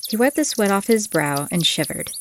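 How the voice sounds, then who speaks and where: A woman's voice: mid-range voice, lively intonation, normal speed. A woman reading scripted fantasy audiobook narration as the storyteller.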